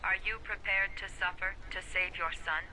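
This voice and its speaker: A woman playing a cold, threatening captor in a video game, speaking in a measured manner. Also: on the low side, fairly even intonation, pushed and bright, slight background noise, poor audio. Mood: cold menace. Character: menacing taunting captor setting a cruel ordeal.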